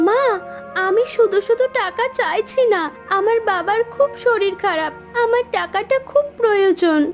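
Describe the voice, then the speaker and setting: A woman playing a devoted, anxious, soft-hearted young married daughter in a cartoon, with an emotional, pleading way of talking. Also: extremely high pitch, moderate pace, faint music behind. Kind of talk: Bengali cartoon voice acting.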